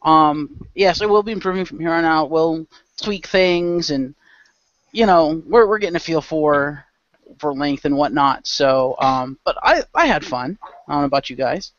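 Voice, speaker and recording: Male game master, higher register, casual narration and banter, lo-fi dry recording. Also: moderate pace, fairly bright tone, dry recording.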